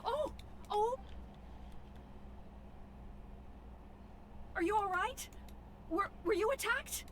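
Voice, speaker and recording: A woman's voice: very high voice, lively intonation, a little slow, a little hiss or hum. A woman voicing an earnest, easily shaken young female character in an anime-style game. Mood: worried.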